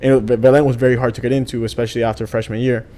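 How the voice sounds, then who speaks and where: Podcast guest, man in his 20s, rapid and rambly, warm tone. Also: medium pitch, level tone. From Venezuela. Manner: rambling, many fillers. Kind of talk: casual interview chat.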